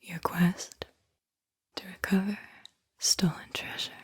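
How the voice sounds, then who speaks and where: A woman whispering a guided visualization in an ASMR style, with a breathy, airy voice and a soothing, deliberate delivery. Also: on the low side, flat delivery. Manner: slow, soothing, deliberate delivery with repeated phrases. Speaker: host, guided visualization narrator.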